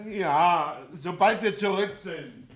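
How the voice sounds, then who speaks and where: An actor playing a wry, easygoing, rumpled police inspector with a teasing, casual way of talking in a German radio crime drama. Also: male voice, high voice, takes it slowly, a bit bright, full character voice. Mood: brisk, amused.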